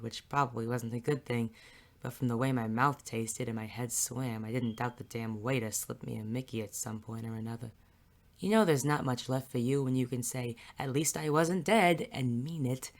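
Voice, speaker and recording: Gravelly, world-weary noir detective played by a man. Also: medium pitch, lightly acted. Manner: wry, brooding. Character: world-weary, bitter hardboiled male private detective. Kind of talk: first-person noir narration. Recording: faint music underneath.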